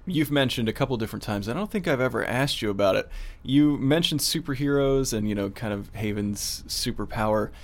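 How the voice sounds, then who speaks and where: A man's voice: medium pitch, brisk. A man co-hosting as a producer, asking questions and chatting in a casual, conversational interview style.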